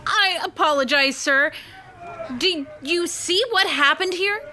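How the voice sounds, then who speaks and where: Actress doing american lady radio reporter on scene, high and sharp, urgent and amazed, old time radio play. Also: slow, slight background noise, exaggerated voice. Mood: earnest, amazed. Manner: urgent, breathless news delivery. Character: earnest, astonished female radio news field reporter. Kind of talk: 1930s-style radio drama acting, on-scene reporting.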